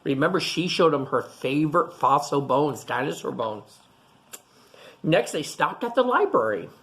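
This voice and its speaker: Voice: medium pitch, expressive, normal speed, a bit bright. A storytime reader going through a picture book aloud for young children, with simple explanatory asides.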